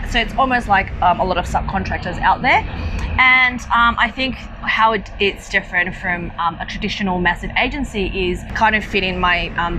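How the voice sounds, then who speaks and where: A woman's voice: fairly low pitch, poor audio. Woman from Malaysia, casual interview guest. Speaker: interview guest, digital marketer. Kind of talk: casual interview answers, giving advice.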